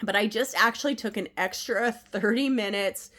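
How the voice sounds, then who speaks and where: A middle-aged woman with a stuffed-up, nasal voice from a head cold, gently reading oracle cards at home and sharing the messages. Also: medium pitch, moderate pace. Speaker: oracle card reader, channel host. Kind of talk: casual spiritual card reading. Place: at home, indoors.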